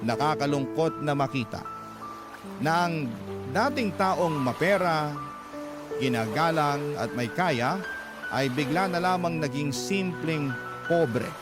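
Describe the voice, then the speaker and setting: A man's voice: fairly high pitch, lively intonation, slow, long pauses, over music. A man giving earnest, sorrowful scripted narration of a first-person radio drama story. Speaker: story narrator reading a letter sender's account.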